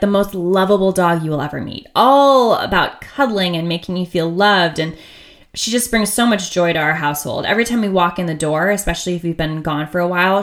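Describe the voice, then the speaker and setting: A woman in her thirties from the United States hosting her own podcast, talking in a chatty, upbeat and candid way with frequent laughs. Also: lowish voice. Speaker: podcast host. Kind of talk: casual solo podcast monologue.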